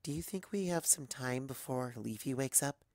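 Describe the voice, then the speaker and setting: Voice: medium pitch, lively intonation, moderate pace, darkish tone, no echo, studio quality, natural voice. An adult narrator voicing an affectionate, teasing, protective mare and wife in a fan fiction reading.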